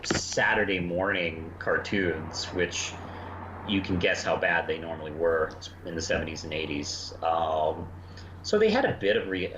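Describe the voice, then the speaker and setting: Halting, very deep-voiced man in a roomy recording. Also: sing-song. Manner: halting, hesitant, often restarting sentences. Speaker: podcast co-host reviewing cartoons. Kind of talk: casual review chat. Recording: roomy, muffled, noisy home recording.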